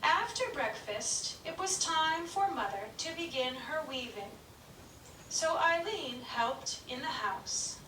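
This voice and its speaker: A woman narrating a children's puppet show story in a slow, gentle, clearly paced reading. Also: sits high, animated, a little hiss or hum. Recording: echoey room, distant mic.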